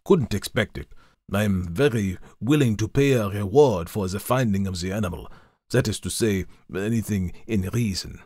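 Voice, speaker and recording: A burly, nervous sailor, played by a male narrator in a low, plain-spoken voice. His words come earnest and heavy. The recording is dry and close. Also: moderate pace, darkish tone, no room sound, natural voice.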